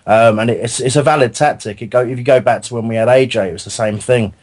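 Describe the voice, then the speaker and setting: A man's voice: medium pitch, quick pace, darkish tone. A man co-hosting a football fan radio show, with a dry wit and a thoughtful way of talking. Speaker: co-host. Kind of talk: casual fan radio chat.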